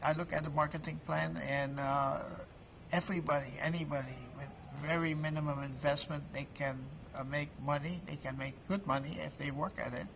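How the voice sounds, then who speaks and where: A man in his late seventies gives an informal testimonial at a trade event, talking haltingly and often restarting words with fillers. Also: fairly high pitch, fairly even intonation, gravelly, background noise. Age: 70s. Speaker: product promoter at an event booth.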